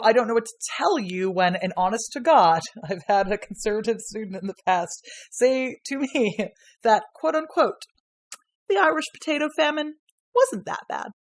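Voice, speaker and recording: Woman lecturer guesting on a podcast, rambly, laughing a lot, medium-pitched voice, lots of "like" and "you know". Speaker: guest, university history lecturer. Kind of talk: casual podcast chat.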